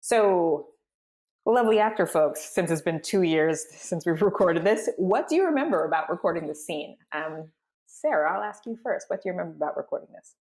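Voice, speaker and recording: A woman hosting as a voice director, narrating and explaining in a clear, articulate way. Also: fairly low pitch, expressive, brisk, darkish tone. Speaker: voice director and host.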